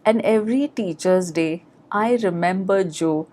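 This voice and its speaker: A woman's voice: fairly low pitch, a little slow, dark tone. A woman with an Indian accent telling personal memories as a podcast host, in a plain-spoken, reflective manner. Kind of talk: personal storytelling.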